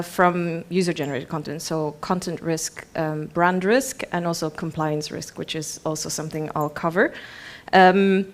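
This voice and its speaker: A woman in her thirties from Belgium giving an informal conference presentation in a chatty, self-deprecating way. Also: lowish voice. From Belgium, grew up in Bruges. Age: 30s. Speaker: conference speaker, head of product.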